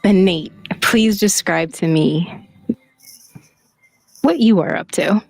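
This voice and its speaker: A woman game master improvising narration for a tabletop roleplaying game, gentle, halting and encouraging. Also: fairly low pitch, creaky, slightly rough, soft background music.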